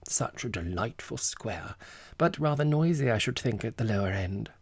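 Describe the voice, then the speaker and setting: A man voicing an eager, deferential gentleman friend in an audiobook, fussy, polite and coaxing in a gossipy way. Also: fairly low pitch, animated, moderate pace, husky, dry recording. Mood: polite, agreeable. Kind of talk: audiobook character dialogue.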